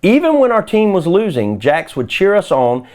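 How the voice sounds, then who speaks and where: A man's voice: high voice, wildly swooping pitch, normal speed, darkish tone, pitched above the performer's own voice. A man voicing a proud, competitive young girl in a children's storybook, earnest and insistent.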